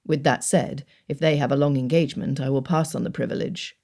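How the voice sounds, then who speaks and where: A woman voicing a reserved young gentleman suitor who speaks low, hushed and earnest. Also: fairly even intonation, brisk.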